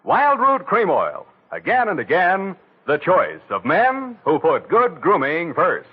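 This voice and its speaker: A man announcing and reading ads on 1940s radio, with a booming sales-pitch delivery. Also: wildly swooping pitch, slow, background noise. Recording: tinny old radio broadcast.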